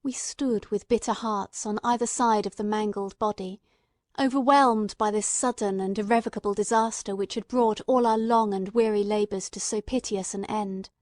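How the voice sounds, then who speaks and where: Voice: medium pitch, fairly even intonation, normal speed, dry recording, studio quality, natural voice. A performer playing an earnest, emotional male companion who narrates a radio mystery in a literary, fervent manner. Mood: somber narration. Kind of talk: dramatic scripted narration, old-time radio mystery.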